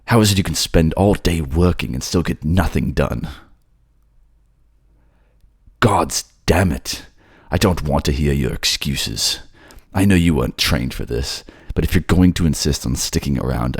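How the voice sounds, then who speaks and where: A man's voice: a bass voice, expressive, normal speed, hardly any pauses, husky, no room sound, studio quality, heavily acted. A man playing a harsh, sneering king in a dramatic ASMR roleplay, scornful and sarcastic. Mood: angry, impatient.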